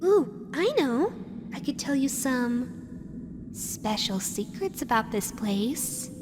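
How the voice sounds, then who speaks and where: A woman's voice: high voice, sing-song, slow, background noise, heavily acted. A woman voicing a sweet, teasing female animatronic fox made for children, in an intimate roleplay audio addressed to the listener. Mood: playful, coaxing. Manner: playful cooing, drifting into wistful musing. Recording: long reverberant hall echo. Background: music.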